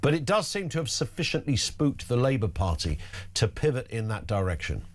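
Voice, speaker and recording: A man hosts a breakfast talk radio show. His voice sits in the middle range and his manner is brisk and conversational. He leads the guest through each topic with direct questions. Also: medium pitch, big pitch swings. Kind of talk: live talk radio hosting.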